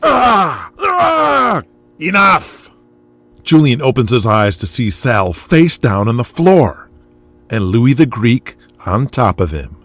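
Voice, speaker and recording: A man's voice: medium pitch, very animated, unhurried, no room sound, faint music behind. A man giving scripted dramatic narration as the narrator of an audio drama.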